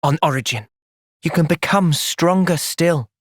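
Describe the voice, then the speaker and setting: A man with a British accent playing a thoughtful, gentle young soldier in video game cutscenes, measured and earnest. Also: fairly high pitch, husky, no echo, clean studio sound. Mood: calm, earnest.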